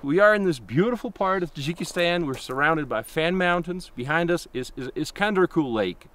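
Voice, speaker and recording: A man's voice: fairly high pitch, lively intonation, moderate pace, a bit bright. A man hosting a travel vlog outdoors by a mountain lake, upbeat and friendly as he interviews people. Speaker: travel vlogger host interviewing. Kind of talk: on-location vlog chat.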